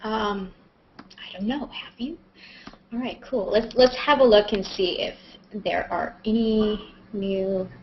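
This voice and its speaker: A woman's voice: mid-range voice, takes it slowly, a little hiss or hum. A woman chatting casually on a livestream, rambling and self-mocking as she thinks aloud while answering questions. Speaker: actress and vlogger hosting a live Q&A. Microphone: video call on a computer. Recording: dull lo-fi webcam audio.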